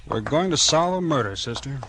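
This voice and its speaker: A man with an American accent acting a steady, tough small-town police chief in a 1940s radio crime drama, plain-spoken and authoritative. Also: mid-range voice, brisk, over loud music, close to an everyday voice. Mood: brisk.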